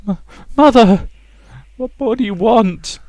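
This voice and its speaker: A man's voice: sits high, slow, darkish tone, no room sound, pitched above the performer's own voice. A man voicing a frightened, reluctant young woman who stammers and pleads in a visual novel.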